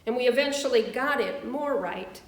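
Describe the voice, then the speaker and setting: A woman minister reading and preaching at a church service in a measured, deliberate way. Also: fairly high pitch. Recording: roomy, echoing voice.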